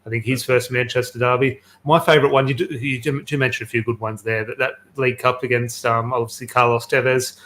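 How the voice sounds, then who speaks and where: A man's voice: medium pitch, little pitch movement, brisk, hardly any pauses, fairly bright tone. A man hosting a casual football podcast, chatty and enthusiastic, telling stories with plenty of fillers. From Australia. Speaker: podcast host.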